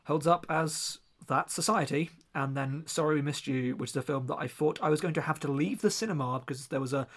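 A man's voice: fairly high pitch, fairly quick, a bit bright. A man co-hosting a podcast, talking casually and earnestly with a rambling, joking manner. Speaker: podcast co-host.

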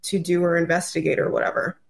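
A woman's voice: fairly low pitch, little pitch movement, fast talker, creaky. A woman speaking as a podcast guest, explaining her ideas in a casual, loose, conversational way with many filler phrases. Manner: informal, loose, peppered with "like" and "you know".

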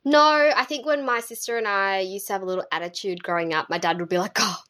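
A woman's voice: medium pitch, fast, no echo. Chatty young woman podcaster. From Sri Lanka. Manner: chatty, lively, with frequent ums and laughs. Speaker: podcast co-host. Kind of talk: casual podcast chat.

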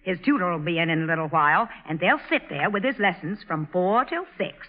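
A woman's voice: lowish voice, animated, a little fast, close to an everyday voice. A woman playing a bold, impatient tomboy with literary ambitions, emphatic and headstrong, in 1940s radio drama style. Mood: curious. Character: bold, impatient tomboy girl, aspiring writer. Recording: muffled old radio broadcast recording.

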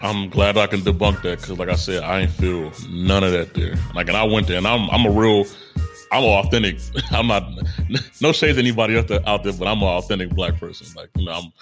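Loud young man, rambling chat. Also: deep voice, brisk, faint music behind. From United States. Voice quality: strong, loud voice. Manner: loose, rambling, full of fillers and restarts. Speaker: podcast co-host. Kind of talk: casual conversation.

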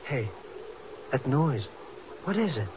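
An actor playing an excitable, bumbling comic sidekick in a 1950s radio drama, with a flustered manner. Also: male voice, mid-range voice, takes it slowly. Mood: puzzled. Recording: muffled, very noisy, crackly old radio.

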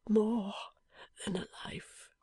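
A woman voicing a badly wounded young husband who speaks in a faint, halting, affectionate whisper in an audiobook. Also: mellow, no echo. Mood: faint, tender. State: weak from injury. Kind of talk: audiobook character voice.

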